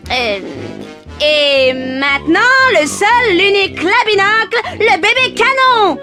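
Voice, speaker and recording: A woman voicing a bossy, showy, scheming little girl in an animated game cutscene. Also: very high-pitched, takes it slowly, music in the background, exaggerated voice. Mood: showy announcing.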